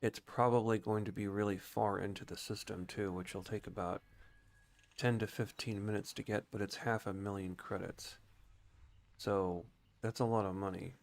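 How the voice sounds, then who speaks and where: A man's voice: fairly low pitch, steady tone, normal speed, gravelly. A man giving casual, explanatory video game commentary, talking informally and thinking aloud as a streamer.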